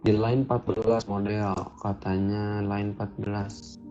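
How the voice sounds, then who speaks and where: A man's voice: lowish voice, flat delivery, measured pace, warm tone. A man teaching a programming lesson over a screen recording, talking casually and thinking aloud as he codes. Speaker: coding instructor. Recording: dull lo-fi audio with faint music.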